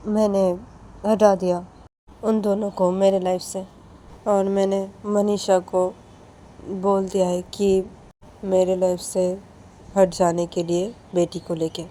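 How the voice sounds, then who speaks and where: Resolute young woman, flat and firm, dark tone, woman doing voice, faint hiss. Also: mid-range voice, natural voice.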